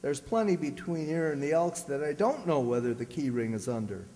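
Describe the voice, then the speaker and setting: Guy as a grumbly husband in old radio comedy, highish voice, wisecracks, echoey hall. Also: lively intonation, fairly quick, warm tone, lightly acted. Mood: grumbling. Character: grumpy, blustering, scheming husband in radio comedy. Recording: very reverberant hall stage recording.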